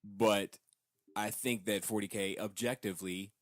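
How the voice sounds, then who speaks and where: A man's voice: lowish voice, fairly even intonation, measured pace, no echo, clean studio sound. A man co-hosting a podcast in casual debate, explaining himself and qualifying his points as he goes. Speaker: podcast co-host.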